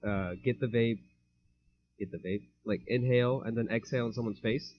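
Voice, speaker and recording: A man's voice: medium pitch, steady tone, moderate pace. Laid-back young man, muffled podcast mic. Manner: laid-back, hesitant with many fillers. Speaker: podcast co-host. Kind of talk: casual chat. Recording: dull lo-fi mic.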